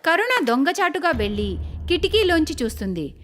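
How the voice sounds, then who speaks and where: A woman storyteller narrates a cartoon moral tale from a script. Her voice is very high and animated in its rise and fall, with clear noise behind it. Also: normal speed.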